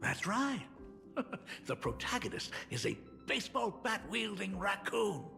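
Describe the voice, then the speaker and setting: An actor playing a doting, joking older father in a video game, with a gravelly, lively voice. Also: high-pitched, unhurried, long reverb tail, over music, full character voice. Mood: warm.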